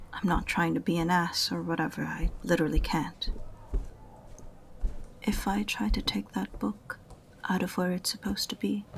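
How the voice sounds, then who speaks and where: A woman playing an unenthusiastic, sarcastic witch librarian in an audio roleplay, speaking softly and breathily with a condescending, exasperated manner. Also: on the low side, creaky, slight background noise. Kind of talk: audio roleplay acting addressed to the listener.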